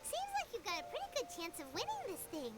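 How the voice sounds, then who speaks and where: A woman's voice: very high-pitched, huge pitch swings, quick pace, music in the background, exaggerated voice. A woman voicing a small, childlike, cheeky female fairy companion in a peppy video game style. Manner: speaks of self in third person, peppy. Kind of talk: animated video game voice acting.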